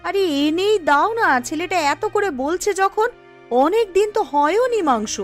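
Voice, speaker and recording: A woman voicing a pleading, nagging young mother in a cartoon, insistent and expressive. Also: very high-pitched, moderate pace, faint music behind. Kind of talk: cartoon voice acting.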